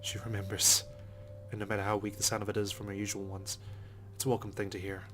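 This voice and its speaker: Low, brisk, even-toned male game narrator. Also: slight background noise. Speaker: let's play host. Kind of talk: reading visual novel narration aloud. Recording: game music behind the voice. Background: game music.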